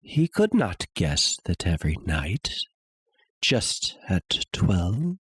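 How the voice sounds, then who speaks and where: A man voicing an obsessed madman who insists he is sane, in a theatrical, halting dramatic horror reading with a breathy, husky voice. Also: deep voice, huge pitch swings, fairly bright tone, dry recording, exaggerated voice. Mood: sly. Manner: halting, theatrical, with long pauses and sudden swells. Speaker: narrator.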